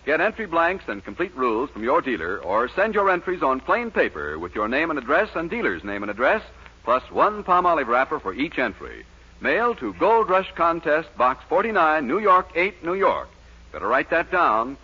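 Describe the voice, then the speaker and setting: A man reads commercial copy as a 1940s radio announcer, urgent and emphatic. His voice is sharp and husky, with highly animated intonation. The old recording sounds muffled. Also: normal speed.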